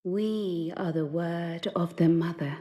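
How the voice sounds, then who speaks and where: An actress playing a serene, solemn emissary of a goddess in a fantasy game, speaking in stately, archaic, halting phrases. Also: female voice, lowish voice, dark tone. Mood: serene, commanding. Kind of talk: fantasy video game cutscene acting. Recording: long cavernous reverb.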